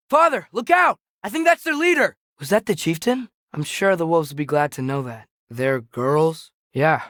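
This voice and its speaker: A man's voice: high voice, fairly bright tone, no room sound, clean studio sound. A young male actor playing an earnest, sensitive American teenage boy adventurer in a video game, speaking with a questioning, slightly hesitant manner. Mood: urgent, then concerned. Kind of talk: video game character dialogue.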